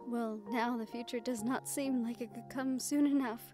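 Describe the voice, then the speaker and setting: A woman playing a shy, lovestruck young fantasy maiden, highish voice. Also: fairly even intonation, moderate pace, faint music behind, close to an everyday voice. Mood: tender, hopeful. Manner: hesitant, tender, breathy hushed passages. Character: shy, lovestruck young nephilim woman, self-conscious and sweet. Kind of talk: romantic audio roleplay acting.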